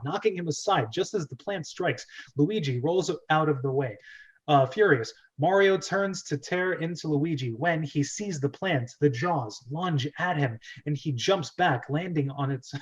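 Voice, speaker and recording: A man's voice: on the high side, moderate pace. A man hosting an informal script read-aloud, reading stage directions theatrically and adding casual, jokey asides. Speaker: host and script reader. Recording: dull lo-fi audio.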